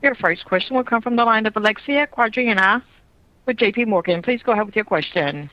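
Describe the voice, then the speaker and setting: A woman's voice: a little high, fairly quick, dry recording. A woman working as a conference call operator, giving scripted instructions to callers. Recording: dull, dry phone conference audio.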